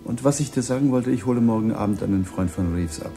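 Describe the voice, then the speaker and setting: A man's voice: mid-range voice, fast talker, warm tone, soft background music, close to an everyday voice. An actor playing an easygoing husband chatting familiarly with his wife in a German radio drama. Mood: casual, familiar. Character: smooth-mannered, casual husband talking to his wife.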